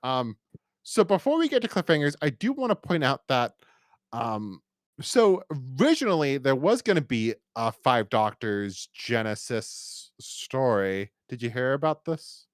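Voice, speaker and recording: Animated man chatting on a podcast. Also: mid-range voice, a little slow, no echo, studio quality. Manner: animated, rambling, acts out imagined lines. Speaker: podcast co-host. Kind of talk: casual review discussion.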